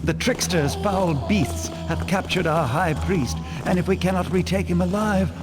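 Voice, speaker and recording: An actor playing a grave, urgent warrior-priest of a zealous order in a fantasy game, with solemn archaic diction. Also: male voice, high voice, normal speed, long reverb tail, over music, natural voice.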